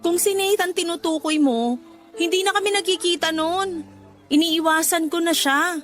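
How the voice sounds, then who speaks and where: Young woman, high voice, Filipino accent, remorseful playful daughter, radio drama, faint music bed. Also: moderate pace, a bit bright. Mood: earnest, shy. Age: young adult.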